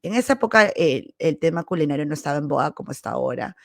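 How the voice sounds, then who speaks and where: Female podcast host, Peruvian accent, medium pitch with noticeable vocal fry. Candid, casual personal storytelling, recorded close and dry. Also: lively intonation, fast, dry recording. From Peru. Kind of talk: casual autobiographical storytelling monologue.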